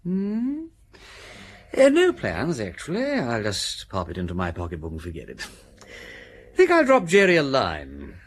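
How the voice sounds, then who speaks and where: An actor playing a flippant aristocratic amateur detective in a radio drama, with airy, clipped upper-class English speech. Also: male voice, mid-range voice, wildly swooping pitch, measured pace. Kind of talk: British radio drama acting.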